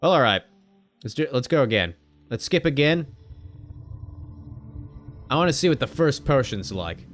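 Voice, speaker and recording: A male gaming streamer narrates a visual novel and drops wry, joking remarks between lines. His voice sits at a middle pitch. Soft music plays under him. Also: very animated, moderate pace, pauses often. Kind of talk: reading a visual novel aloud with commentary.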